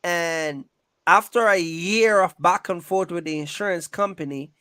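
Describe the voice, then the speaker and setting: A man's voice: high voice, measured pace, pushed and bright, clean tone, no echo, studio quality. A man chatting casually and emphatically, often checking that the listener follows. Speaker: trucking business owner and video creator. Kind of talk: casual chat.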